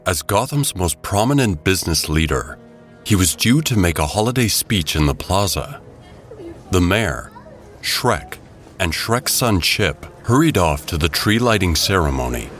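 A man narrating a scripted audio drama in a dramatic, deliberate storytelling way. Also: low-pitched, very animated. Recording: loud music bed behind the voice. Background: loud music.

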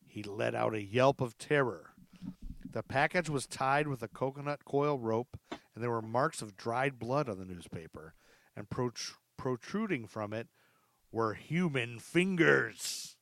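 A man's voice: medium pitch, lively intonation, unhurried, vocal fry, fairly bright tone, no room sound. Man hosting a podcast, close dry sound. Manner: informal, interjects joking asides. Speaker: podcast host. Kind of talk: true crime storytelling, part read from notes, part casual chat.

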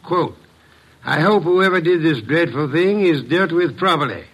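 Imperious radio detective, high voice, sharp bright tone, theatrical deliberate delivery, shrewd and weary. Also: male voice, animated, slightly rough, muffled recording, heavily acted. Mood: accusing, composed. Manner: deliberate, pompous, theatrical speech. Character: weary, shrewd, imperious middle-aged male master detective. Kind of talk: 1950s radio drama acting.

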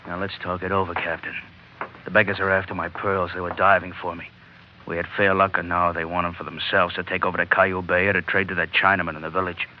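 A man playing a terse, menacing American villain in a vintage radio adventure, gruff and curt. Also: low voice, little pitch movement, quick pace, natural voice. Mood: hard, threatening. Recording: muffled, noisy old radio broadcast.

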